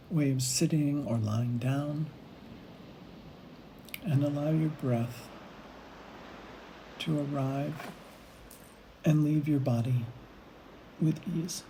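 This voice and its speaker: Slow, low-key woman guiding meditation. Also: warm tone, a little hiss or hum, rough recording. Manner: slow, unhurried phrasing with long pauses. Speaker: meditation guide. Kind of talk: guided meditation, gentle imagery narration.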